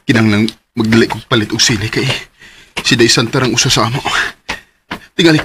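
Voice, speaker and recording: An actor playing a hurried, puzzled young son in a radio drama. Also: male voice, medium pitch, noisy background, lightly acted.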